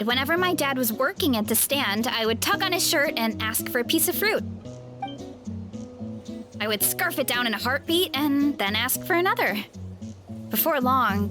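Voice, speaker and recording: A cheerful young woman with a big appetite, played by a woman in a video game, friendly and quick to laugh. Her voice sits high and moves in lively swings. Faint game music hums behind her. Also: lightly acted. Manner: bright and friendly, laughs easily. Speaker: game character showing guests an orchard. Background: soft game music.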